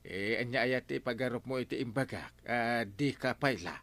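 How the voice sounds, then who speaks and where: A man's voice: mid-range voice, moderate pace, fairly bright tone, close to an everyday voice. A man playing a sly, hesitant comic village man who stammers and hems in a Filipino radio comedy drama. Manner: stammering, hesitant, full of hemming.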